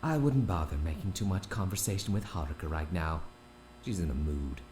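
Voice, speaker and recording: Picture a smug older doctor in a fan audio drama, voiced by a man, purring his words in a very low, lilting register. He stays prim and mock-polite with every line. A faint hum sits behind him. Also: animated, fairly quick, a little mellow. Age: 50s. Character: smug older male doctor, oily and condescending.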